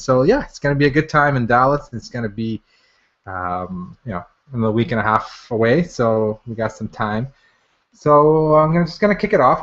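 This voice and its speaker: A man's voice: medium pitch, a bit bright. A man hosting a live product launch webinar in a casual, unscripted way, informally repeating his phrases as he goes. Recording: dull, lo-fi webinar audio.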